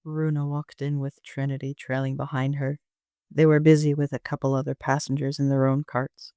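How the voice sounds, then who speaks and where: A man's voice: medium pitch, monotone, normal speed, mellow, clean tone, dry recording, studio quality. A man narrating a first-person audio drama as an amateur reader, in an understated storytelling manner.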